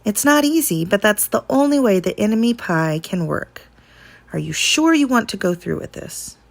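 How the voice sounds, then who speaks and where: A woman voicing a calm, sly dad in a children's storybook, speaking deliberately and confidingly. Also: mid-range voice, gravelly. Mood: quiet, serious. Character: sly, calm dad sharing a secret recipe.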